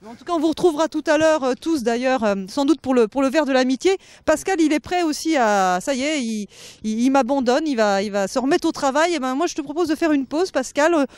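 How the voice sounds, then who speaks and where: A woman's voice: high voice, lively intonation, fairly quick, a little mellow, dry recording. A woman reporter interviewing people outdoors in a forest, chatty and hesitant with frequent fillers. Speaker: radio reporter.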